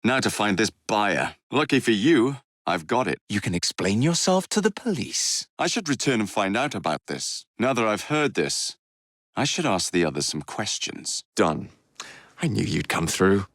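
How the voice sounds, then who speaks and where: An actor voicing a cocky, wisecracking young English assassin and gang leader in a video game, flippant and sardonic. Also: male voice, fairly low pitch, sing-song, normal speed, a bit bright, dry recording, clean studio sound, full character voice. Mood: brisk, purposeful. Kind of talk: video game character dialogue.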